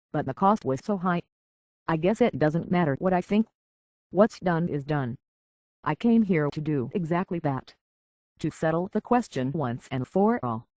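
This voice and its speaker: An actress playing an earnest, conflicted young woman in a video game, speaking hesitantly and with a soft heart. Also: low voice, no echo. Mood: troubled. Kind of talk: video game character dialogue.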